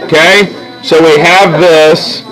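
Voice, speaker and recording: A man's voice: fairly high pitch, steady tone, slow, fairly bright tone, rough recording. A man teaching a drawing lesson step by step in a casual way, often checking that his listeners follow. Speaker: art teacher.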